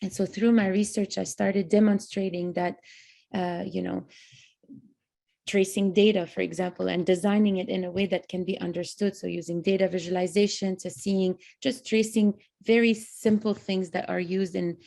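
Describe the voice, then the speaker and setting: A woman from Lebanon speaking as a panelist, earnest and reflective, often pausing to search for words. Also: medium pitch, fairly even intonation, vocal fry, dark tone. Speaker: panelist, founder of an organization. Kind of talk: online panel talk.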